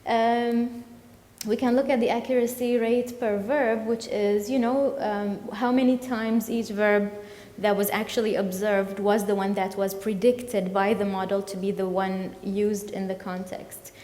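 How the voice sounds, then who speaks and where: A woman's voice: medium pitch, flat delivery, mellow. Woman presenting in an echoing hall. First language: Arabic. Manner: halting, with many fillers and self-corrections. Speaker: conference presenter. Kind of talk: academic conference talk. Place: lecture hall. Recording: echoey hall with long reverb.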